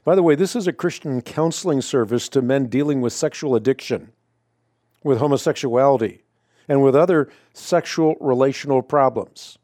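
A man hosting a radio talk show, speaking in a measured, emphatic way and stressing key words. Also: mid-range voice, mellow, no echo, clean studio sound. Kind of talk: scripted monologue then interview.

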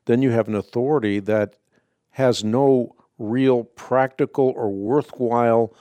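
A man hosting a radio call-in show, native Dutch speaker, teaching in a measured, emphatic, preacherly way. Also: medium pitch, fairly even intonation, dark tone, clear, resonant tone, dry recording. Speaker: radio host answering callers.

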